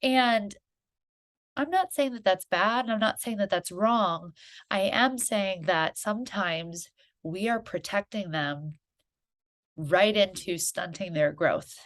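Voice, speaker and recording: A woman's voice: mid-range voice, takes it slowly. A woman hosting a solo podcast, talking in an earnest, encouraging, conversational way, with a stuffy nose from a head cold. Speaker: podcast host.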